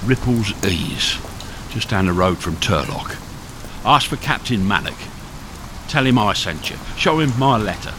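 Terse hunted smuggler played by a man, low voice. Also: lively intonation, a little slow. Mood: weary, terse. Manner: terse, commanding, evasive. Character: hunted, hardened male smuggler with wounded arm, evasive. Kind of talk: radio thriller acting. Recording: noisy radio drama with rain sounds.

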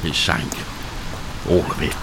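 A man's voice: measured pace, lightly acted. A man playing a hunted, hardened smuggler in a radio thriller, terse, commanding and evasive. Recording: noisy radio drama with rain sounds.

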